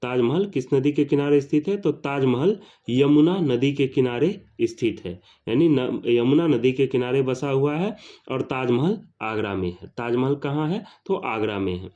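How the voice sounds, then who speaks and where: A man's voice: medium pitch, animated, normal speed, mellow, muffled recording. A male teacher, walking through exam questions and repeating each question and answer.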